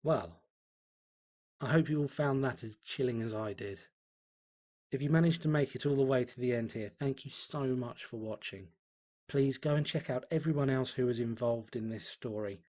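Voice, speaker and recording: A man hosting a story channel, talking casually and earnestly to his viewers in a soft, hesitant way. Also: mid-range voice, normal speed. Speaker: host, fan fiction reader. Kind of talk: casual outro chat to viewers.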